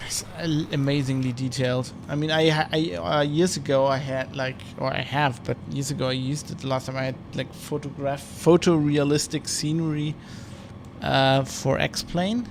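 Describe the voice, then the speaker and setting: A man's voice: on the high side, steady tone, moderate pace, vocal fry, background noise. A man giving rambling, casual commentary while streaming a flight sim. He restarts and corrects himself often. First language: German.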